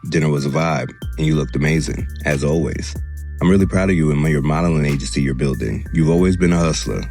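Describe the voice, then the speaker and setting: A man's voice: rumbling low, fast, darkish tone. A man voicing a proud, driven young festival boss in an audio drama, with a confident manner. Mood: smooth, flattering. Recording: music behind the voice.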